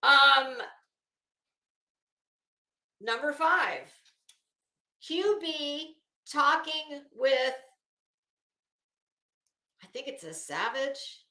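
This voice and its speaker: A woman with a bright, forceful, slightly high voice reads a document aloud and comments on it in a sardonic, incredulous way. Her delivery is unhurried and blunt, with casual swearing. The recording sounds roomy and echoing. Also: lively intonation. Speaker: host of commentary show. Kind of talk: reading a court document aloud with running commentary.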